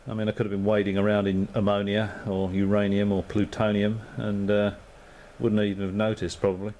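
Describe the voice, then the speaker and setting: A man's voice: lowish voice, completely flat delivery, a little fast, a little mellow, a little hiss or hum. An Australian man and amateur radio operator in easygoing, rambling radio chat, full of fillers and signing off with call signs. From Perth, Western Australia. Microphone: radio transceiver microphone.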